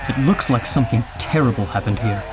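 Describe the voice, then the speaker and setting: An actor playing a bookish, quick-witted adventurer in an audio drama, articulate and reasoning. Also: male voice, mid-range voice, over music, close to an everyday voice, poor audio. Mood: concerned.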